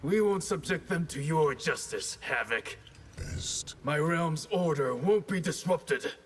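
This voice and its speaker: A man voicing a proud, stern ninja warrior in a video game, gravelly and clipped in his delivery. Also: on the high side, lively intonation, slow, full character voice. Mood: stern, scornful. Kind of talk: video game character dialogue.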